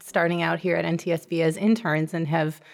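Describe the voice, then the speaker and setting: A woman's voice: low voice, steady tone, brisk, vocal fry. A woman hosting a podcast, guiding guests with brief prompts. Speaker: podcast host.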